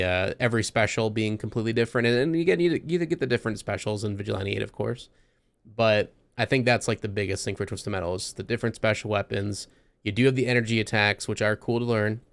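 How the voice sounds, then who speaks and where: A man's voice: fairly low pitch, gravelly, no room sound. A man hosting a casual podcast chat, talking enthusiastically and rambling a little with restarts. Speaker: podcast host.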